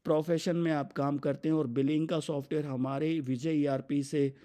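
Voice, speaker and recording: An Indian man explaining software in an unscripted walkthrough, mixing English terms into his talk. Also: on the high side, little pitch movement, normal speed. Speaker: software demonstrator for his company. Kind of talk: unscripted product demo walkthrough.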